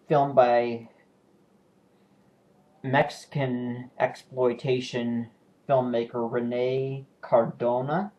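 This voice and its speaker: A man casually talking through his new disc purchases, relaxed and unscripted, pausing and correcting himself as he goes. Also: mid-range voice. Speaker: video collector showing new purchases. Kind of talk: casual home video review.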